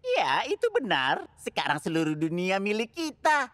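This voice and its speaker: A woman's voice: medium pitch, wildly swooping pitch, normal speed, a bit bright, clean studio sound. A woman voicing a sly, gloating villainous talking car in a children's cartoon. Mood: smug glee. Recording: long hall reverb, music behind.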